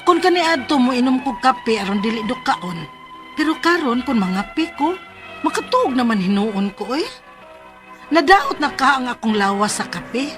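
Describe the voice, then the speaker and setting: A woman's voice: high voice, big pitch swings, normal speed. A woman with a Cebuano accent acting a weary, coffee-addicted working mother who confides her troubles in a radio drama. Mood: troubled, pleading. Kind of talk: Philippine radio drama acting and first-person letter narration. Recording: music bed under the voice.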